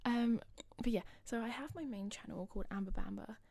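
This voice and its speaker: A young woman with a slightly husky voice, rambling casually over a game build video. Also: fairly high pitch, brisk, darkish tone, no echo. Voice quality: slightly husky, losing her voice. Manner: rambling, chatty, self-deprecating. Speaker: gaming channel host.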